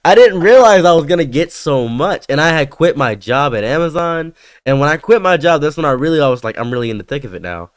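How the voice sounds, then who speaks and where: A man's voice: fairly high pitch, quick pace, dry recording, studio quality. A man in his thirties, born in Egypt, chatting casually on a video call and acting out quoted remarks as he tells stories. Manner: animated storytelling, acts out quotes. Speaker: streamer host. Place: bright green-walled room indoors. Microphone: webcam on a video call.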